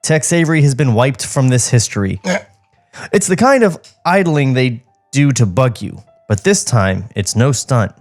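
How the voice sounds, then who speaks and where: A man's voice: mid-range voice, animated, normal speed, soft background music. A man narrating stage directions from a script at a podcast table read. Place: podcast studio.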